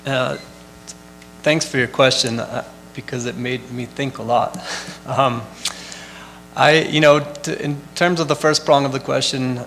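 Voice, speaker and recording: A man's voice: mid-range voice, little pitch movement, measured pace, a bit bright, slight background noise, low-quality recording. A man school board candidate answering at a forum, hesitant with many fillers.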